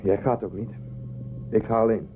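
A man's voice: lowish voice, normal speed, close to an everyday voice. A man acting in a radio drama as a serious, decisive spaceship commander. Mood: determined. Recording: tinny phone-like narrowband audio. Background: music.

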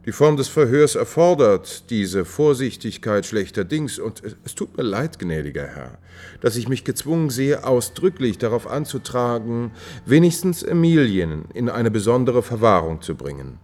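An actor playing a cold, cunning courtier in a 1950s German radio drama, measured, officious and insinuating. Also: male voice, medium pitch, sing-song, darkish tone, lightly acted. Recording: vintage 1950s radio recording.

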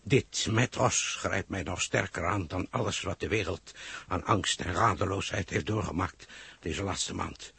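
A solemn prime minister in an old radio drama. His voice is very husky and creaky, with grave, moved, oratorical phrasing in a dry studio. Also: low-pitched, a little slow, a bit bright, no echo, heavily acted. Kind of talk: 1960s radio drama acting.

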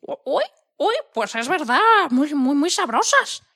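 A cheerful storybook hen, played by a woman, very high and bright. Her delivery is theatrical and encouraging, with big swoops in pitch. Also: sing-song, slow pace, full character voice. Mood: delighted. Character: cheerful, reassuring female hen friend. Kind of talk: children's audio story acting.

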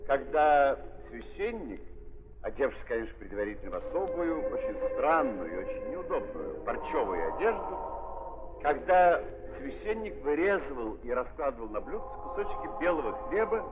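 A man narrating literary prose in a declamatory, deliberate 1930s theatrical style with an ironic edge, on an old archive recording. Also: a little high. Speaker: narrator of a stage-based radio play. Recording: scratchy, tinny 1930s archive recording with hiss.

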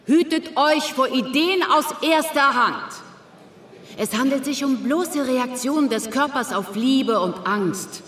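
A preachy lecturer in an audio play, super high voice, pompous, echoey space. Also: takes it slowly, fairly bright tone. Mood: exalted preaching. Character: dogmatic lecturer preaching second-hand ideas to listeners. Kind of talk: oratorical radio play lecture. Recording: roomy echo on the voice.

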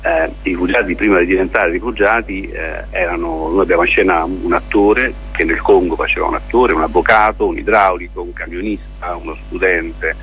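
Man, deep voice, deliberate explanatory interview answers, hesitant phrasing, dull lo-fi sound, faint noise. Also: vocal fry, a bit bright. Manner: halting, deliberate, with drawn-out pauses and repeated words. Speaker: theatre director interviewed as guest. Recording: muffled, lo-fi radio interview with noise.

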